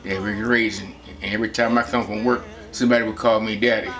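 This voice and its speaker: A man in his seventies from Texas, recalling his family life in a relaxed, rambling way with many pauses. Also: on the low side. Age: 70s. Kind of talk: personal reminiscence.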